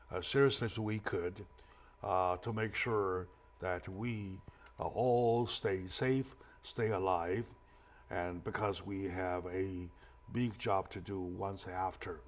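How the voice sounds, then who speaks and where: A man hosting a talk show interview, speaking with halting, hesitant phrasing. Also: sits low, steady tone.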